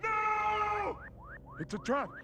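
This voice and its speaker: A man playing a delirious, devoted guardian in an animated adventure, crying out in panic as if haunted by the past. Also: extremely high pitch, very slow, background noise, exaggerated voice. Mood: frantic. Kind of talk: animated adventure series acting.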